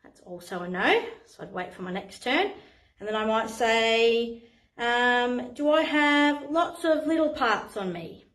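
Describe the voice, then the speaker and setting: A woman's voice: a little high, animated, a little slow, fairly bright tone. An Australian woman in her thirties, a playgroup facilitator, explaining games to parents in a chatty, encouraging way with many ums. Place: playroom with a screen, indoors. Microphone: camera a few steps away. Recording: echoey room.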